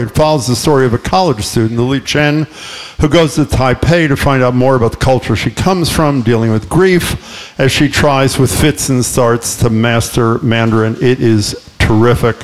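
Joking male radio co-host. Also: mid-range voice. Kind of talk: live radio interview. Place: public library.

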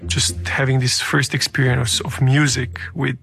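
Man, Serbian accent, creaky mid-range voice, halting personal talk, faint music behind. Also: medium pitch, level tone, a bit bright. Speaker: storyteller, musician and teacher. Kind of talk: interview answers and personal story.

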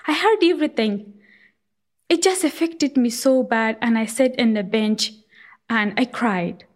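A young woman from Afghanistan telling her own life story in an earnest, halting way, with frequent fillers. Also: fairly high pitch. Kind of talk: personal storytelling.

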